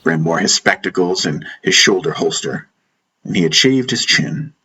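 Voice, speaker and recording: A man's voice: low voice, lively intonation, normal speed, pushed and bright, husky, poor audio. A man reading a first-person fantasy story as scripted audiobook narration. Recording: music bed behind narration. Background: background music.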